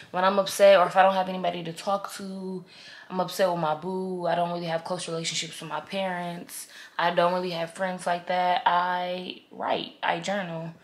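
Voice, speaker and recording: A woman of twenty-one chatting candidly to her camera in a casual vlog, heartfelt and open, using slang. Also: on the low side. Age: 20s. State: congested, stuffy. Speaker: vlogger. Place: bedroom, indoors, then in a car.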